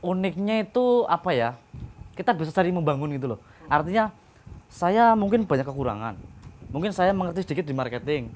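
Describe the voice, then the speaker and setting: Man, interviewee, rapid chatty delivery, higher voice. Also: very animated, darkish tone, a little hiss or hum. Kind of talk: interview answers.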